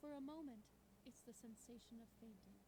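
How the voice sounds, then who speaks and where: A woman's voice: fairly high pitch, a little fast, background noise, lightly acted. A woman playing a tough, desperate young slum fugitive who narrates her own ordeal expressively in a visual novel game. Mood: dark, intense. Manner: expressive, tense delivery. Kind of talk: dramatic first-person visual novel narration.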